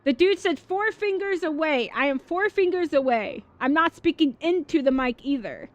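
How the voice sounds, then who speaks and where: A woman streaming a game, chatting casually with viewers, excitable and swearing freely. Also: high-pitched, normal speed. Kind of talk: casual livestream chat over gameplay. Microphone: dynamic mic. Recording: dull mic with faint game music.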